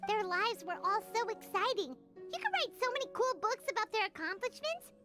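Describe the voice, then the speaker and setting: An actress voicing an excitable, theatrical young woman with sweeping dramatic inflections, in a video game. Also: very high-pitched, fairly quick, over music. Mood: excited.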